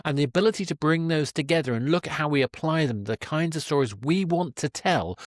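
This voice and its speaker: A man's voice: a little high, brisk, no room sound, studio quality. A man in his fifties hosting a conversational podcast, earnest and discursive as he reflects. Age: 50s. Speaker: podcast host. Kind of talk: conversational interview, reflective summing up.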